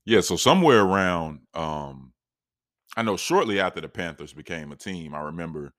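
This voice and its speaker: A man's voice: low voice, expressive, normal speed, a bit bright, no echo, studio quality. A man in his late thirties from North Carolina, talking casually about sports fandom with a rambling, conversational manner and a slightly scratchy voice. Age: 30s. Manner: rambling, conversational, many restarts and asides. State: scratchy voice, sipping tea. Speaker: sports fan commentator. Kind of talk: casual monologue.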